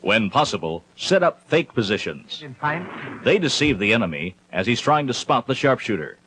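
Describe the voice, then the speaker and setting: A man reading scripted narration for an instructional documentary film in a measured, instructive manner. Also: mid-range voice, sing-song, background noise.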